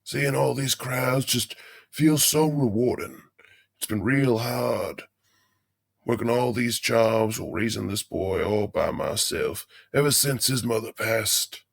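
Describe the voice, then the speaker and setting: A man's voice: medium pitch, slow pace, gravelly. A man playing a folksy older animatronic beaver, a cheerily macabre father putting on a hammy stage show in an audio drama. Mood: cheery showman.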